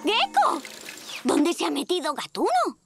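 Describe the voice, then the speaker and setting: A woman's voice: very high-pitched, huge pitch swings, a little slow, creaky, over loud music, heavily acted. A woman with a Castilian Spanish accent voicing a bold, confident young girl superhero in an owl costume for a cartoon. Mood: annoyed. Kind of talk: animated children's series dub.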